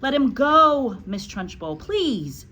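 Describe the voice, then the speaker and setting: Woman putting on a high, pleading schoolteacher. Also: very animated, slow, sharp tone, a little hiss or hum, full character voice. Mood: begging. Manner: crying out, imploring. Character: gentle young female teacher, anxious, pleading, protective.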